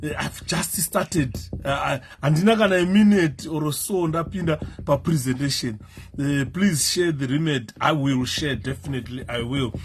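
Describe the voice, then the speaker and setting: A Zimbabwean man gives a live-streamed political talk in a hoarse, worn-out voice that sits a little high. He speaks haltingly, leaning on fillers as he greets viewers and works through his points. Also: slight background noise. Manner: halting, many fillers, switches into Shona and sings. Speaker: political commentator.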